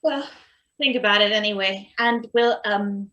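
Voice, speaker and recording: Earnest, slow-spoken worker played by a woman. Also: medium pitch, bright, forceful tone, dull audio. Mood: hesitant, conciliatory. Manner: hesitant, earnest. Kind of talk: table read of a satirical stage play.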